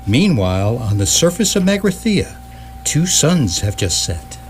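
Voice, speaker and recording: A man playing a knowing guidebook narrator in live radio theatre, with a wry, measured, theatrical delivery. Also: medium pitch, big pitch swings. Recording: noisy live stage recording with hum.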